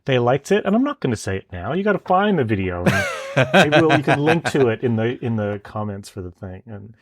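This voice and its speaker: A man's voice: mid-range voice, very animated, brisk, dry recording, studio quality. A man from Canada with an American Denver accent, a podcast guest chatting casually and self-deprecatingly about his work. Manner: self-deprecating, laughing often. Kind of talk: casual interview chat. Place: home, evening, remote call.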